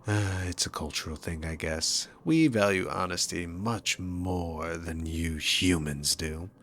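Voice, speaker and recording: Man voicing a deep, slow-talking, sarcastic demon king. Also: fairly bright tone, a little hiss or hum. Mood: calm, proud. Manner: smug, teasing, drawn-out theatrical phrasing. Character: sarcastic, dominant male demon king villain. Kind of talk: scripted ASMR roleplay addressed to the listener.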